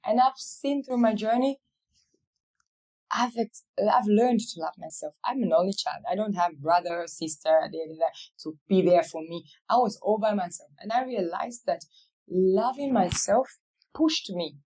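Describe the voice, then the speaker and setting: A woman's voice: mid-range voice, moderate pace, darkish tone, poor audio. A woman from Africa speaking as an interview guest in a motivational way, repeating key phrases for weight. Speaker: interview guest, real estate company CEO.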